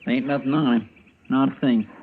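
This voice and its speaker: An actor playing a loyal, easily rattled deputy in a radio western, with a rural Western American drawl and a folksy, deferential manner. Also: male voice, medium pitch, fairly even intonation, moderate pace, lightly acted. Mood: firm, folksy. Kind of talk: old time radio western acting. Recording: tinny, noisy old radio recording.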